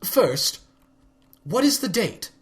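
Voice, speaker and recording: A young night princess pony with a bright, high and lively voice, plain-spoken and earnest, played by a man reading aloud. Her storybook voice sits well above the narration. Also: takes it slowly, heavily acted.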